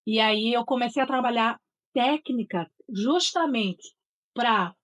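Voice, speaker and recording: A woman's voice: a little high, slow pace, a bit bright. A middle-aged woman with a Northeastern Brazilian accent from Sergipe, a voice and speech therapist telling her career story in an interview with an assured, articulate manner. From Aracaju, Sergipe, Brazil.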